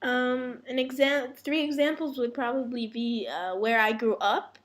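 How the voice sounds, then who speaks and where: A female student speaks in class at a slow pace. Her voice sits a little high, with the delivery of someone answering or reading aloud for a teacher. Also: steady tone. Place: indoor classroom.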